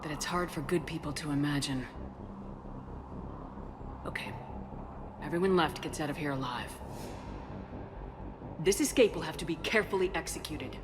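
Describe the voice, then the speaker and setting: Woman acting a low-voiced resolute female commander. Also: moderate pace, pauses often, noisy background, lightly acted. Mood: firm, commanding. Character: resolute military commander heroine, calm and caring. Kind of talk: video game cutscene acting.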